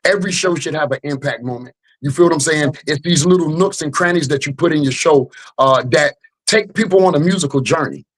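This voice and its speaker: A man's voice: fairly high pitch, a little fast, breathy edge. An American man, a rap artist being interviewed, speaking conversationally and earnestly, with frequent check-in phrases to the listener. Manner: earnest, punctuates with "you know what I'm saying". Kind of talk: conversational storytelling.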